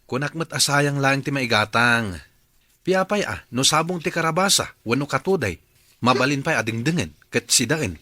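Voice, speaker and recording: A thrifty, patient but exasperated boyfriend in a radio drama. His Ilocano-accented voice is bright and forward, with lively swings in pitch. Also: moderate pace, no echo. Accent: Filipino, Ilocano.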